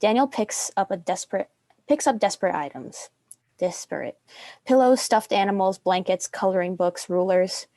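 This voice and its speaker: A woman's voice: medium pitch, normal speed, mellow, no room sound. A young adult woman reading scripted stage directions as a narrator.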